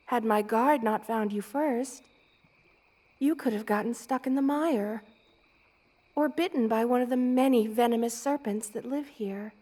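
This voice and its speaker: A woman playing a motherly, regal spider queen who is gentle and protective, in a tender ASMR roleplay. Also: fairly high pitch. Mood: regal, gently questioning. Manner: tender, cooing, doting, with regal commands.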